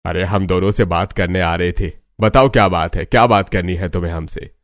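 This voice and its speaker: A man's voice: low voice, a little fast, dry recording, clean studio sound. An actor playing a stern, stubborn old father-in-law in a cartoon family drama. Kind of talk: cartoon voice acting.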